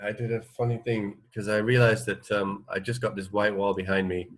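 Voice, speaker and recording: Hesitant, low-pitched male radio co-host. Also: flat delivery, normal speed. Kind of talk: casual chat.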